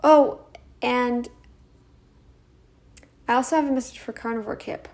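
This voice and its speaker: Young woman vlogger, croaky and gravelly, unhurried, halting delivery. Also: a little high, steady tone, darkish tone. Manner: rambling, halting with restarts. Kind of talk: casual talking-head vlog.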